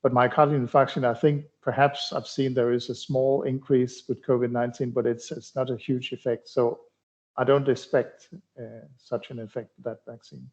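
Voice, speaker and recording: A middle-aged man from Denmark, a cardiology researcher answering interview questions in a halting way, with many fillers and restarts. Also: mid-range voice, flat delivery, darkish tone. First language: Danish. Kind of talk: conversational expert interview. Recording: dull lo-fi sound.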